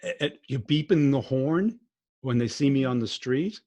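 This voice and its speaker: A man's voice: medium pitch, expressive, normal speed, a little mellow. A man hosting a podcast, talking bluntly and plainly in casual conversation. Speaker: podcast host.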